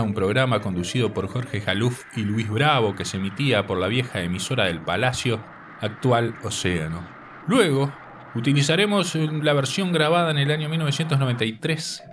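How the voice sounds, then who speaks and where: A man presenting a scripted radio segment in a measured, didactic manner. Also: mid-range voice. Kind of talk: scripted narration. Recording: distant mic with faint noise.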